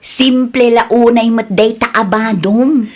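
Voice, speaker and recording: A woman's voice: on the high side, steady tone, takes it slowly, darkish tone. A woman playing a caring, fussy village wife in a radio comedy drama, coaxing and mildly nagging, with a Filipino accent. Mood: fussing, coaxing.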